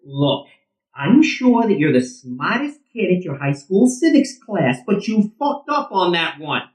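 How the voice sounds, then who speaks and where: A hot-tempered middle-aged ballplayer in an audio drama. He is a combative, sarcastic man who swears freely and swings widely in pitch. The sound is roomy and echoing. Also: sing-song, measured pace. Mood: angry, combative. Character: Eddie, hot-headed, beer-drinking middle-aged softball player. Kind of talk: naturalistic audio drama acting.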